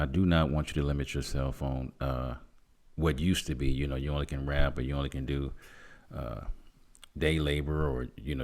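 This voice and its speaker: A man's voice: a bass voice, a little mellow. A man in his fifties hosting a podcast, plainspoken and affirming, chatting casually with his guest. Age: 50s. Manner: affirming, frequent backchannel agreement, plainspoken. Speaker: podcast host. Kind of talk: casual chat.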